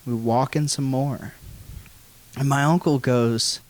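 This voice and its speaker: An American man telling a funny personal story in an animated, folksy way with some swearing. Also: mid-range voice, slow pace, dry recording. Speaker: guest storyteller.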